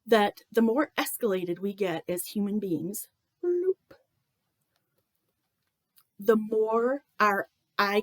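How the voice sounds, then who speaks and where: A woman talking to camera in a joking, self-deprecating way, with many pauses and a slightly nasal voice. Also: medium pitch, dry recording. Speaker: video creator.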